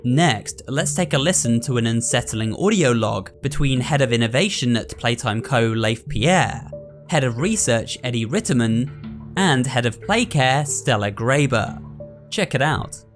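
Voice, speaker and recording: A man's voice: medium pitch, expressive, a little slow, music in the background. A man narrating a scripted breakdown as the host of a video.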